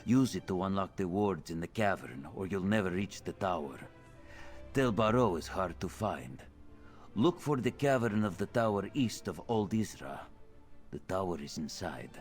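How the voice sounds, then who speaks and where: Fantasy game tower official, deep male voice, dry, formal, wary, sardonic, soft music behind. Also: lively intonation, moderate pace. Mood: businesslike. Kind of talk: scripted fantasy video game dialogue.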